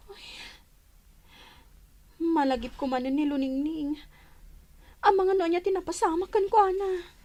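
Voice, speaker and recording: Woman playing a very high-voiced, plaintive daughter. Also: fairly even intonation, a little slow, long pauses, darkish tone. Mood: plaintive, worried. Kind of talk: radio drama acting.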